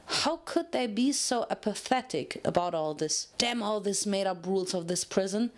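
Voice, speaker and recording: A woman reads a story aloud as its narrator. Her medium-pitched voice carries a dramatic, scripted delivery. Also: lively intonation, moderate pace.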